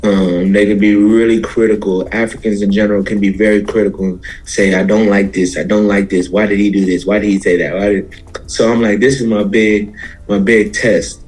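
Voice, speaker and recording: Podcast guest, male musician, American accent, lowish voice, thoughtful stop-and-start explanations, faint music behind. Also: level tone, a little fast, darkish tone, slightly rough, poor audio. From Houston, Texas, USA. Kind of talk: casual interview answers.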